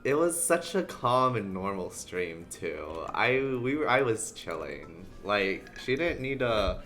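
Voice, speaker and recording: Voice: sits low, sing-song, takes it slowly, a bit bright, faint music behind. A game streamer chatting casually and ramblingly to viewers while playing. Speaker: livestreamer. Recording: background noise under the voice. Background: video game sounds.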